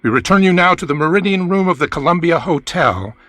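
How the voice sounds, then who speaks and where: An urgent male news announcer delivers bulletins in formal old-time radio style. His voice is forceful and animated, recorded close and dry. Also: fairly high pitch, a little fast, no room sound. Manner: crisp, formal, emphatic.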